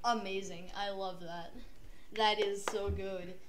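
A woman's voice: medium pitch, fairly even intonation, slow pace, heavy background noise. A woman giving casual commentary as she plays a rhythm video game. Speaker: gamer playing a rhythm game. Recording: loud electronic music over voice.

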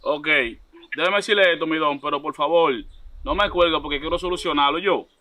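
A man's voice: fairly high pitch, fairly quick, pushed and bright. A man in his thirties with a Dominican accent, making an insistent, repetitive, confrontational prank phone call. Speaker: prank caller. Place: outdoors by a block wall.

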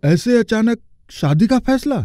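Man acting a mellow, fatherly company boss. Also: very high voice, expressive, unhurried, no room sound, studio quality. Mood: thoughtful. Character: kindly middle-aged company boss and indulgent father. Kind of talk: Hindi cartoon story acting.

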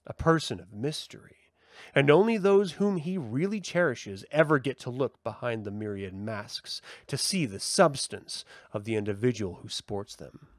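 Man giving an expressive, emphatic essay reading. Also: medium pitch, no echo, studio quality. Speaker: host reading essays aloud to a live audience.

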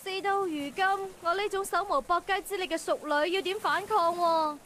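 A woman's voice: extremely high pitch, normal speed, a bit bright, slight background noise. A woman voicing a cocky, teasing young lady thief in a visual novel, playful and theatrical, with a Hong Kong Cantonese accent. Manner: playful, theatrical, mock-villainous. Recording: game voice track over music.

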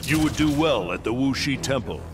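A man's voice: mid-range voice, expressive, moderate pace, close to an everyday voice. Man acting solemn, righteous thunder god. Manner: grave, formal, declamatory. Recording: loud music behind studio game voice.

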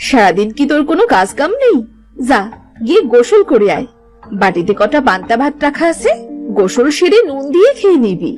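A woman's voice: high voice, very animated, fairly quick, a little mellow, faint music behind. A woman voicing a scolding, sarcastic, exasperated village mother in a cartoon. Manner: nagging, sarcastic. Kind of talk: cartoon voice acting.

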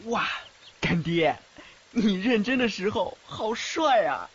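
A man playing a devoted, trusting young godson in a fan audio drama, expressive and reassuring. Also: high voice, slow, breathy edge, full character voice. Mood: admiring. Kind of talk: Mandarin fan radio drama acting. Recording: muffled, faint background noise, rain-like ambience.

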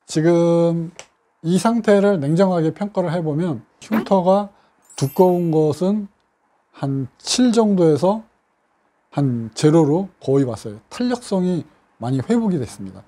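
Mellow-voiced man explaining a case. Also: on the high side, lively intonation. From South Korea. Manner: measured, explanatory, with frequent fillers. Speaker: scar clinic doctor. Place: doctor's office with bookshelves.

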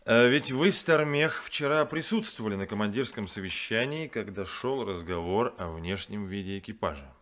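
A stern ship captain. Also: male voice, fairly low pitch, lively intonation, normal speed, hardly any pauses, a bit bright. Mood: reproachful. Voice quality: firm, reproachful. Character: stern male ship captain, demanding and formal. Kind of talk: 1970s radio play acting.

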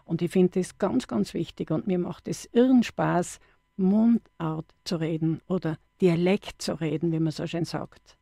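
A woman's voice: fairly low pitch, steady tone, measured pace, vocal fry, mellow, no echo. A middle-aged woman with an Austrian accent, a dialect poet chatting as a studio guest on a radio show, with frequent restarts. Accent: Austrian German. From Upper Austria. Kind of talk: radio interview.

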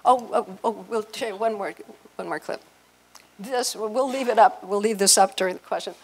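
A woman's voice: medium pitch, moderate pace, breathy edge. An older woman giving an informal, sentimental talk of reminiscence into a handheld microphone. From southern California. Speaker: panel speaker at a fan convention. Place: hotel conference room with small audience.

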